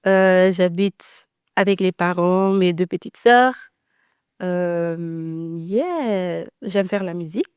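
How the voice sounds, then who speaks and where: A young woman in her twenties with a Dutch accent talks as an interview guest. Her voice is smooth and clean, close to the mic in a dry room. She hesitates often and fills gaps with soft "euh" sounds. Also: fairly low pitch, slow, no echo. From Netherlands. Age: 20s. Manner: hesitant, many "euh" fillers, soft laughs. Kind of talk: casual interview about faith.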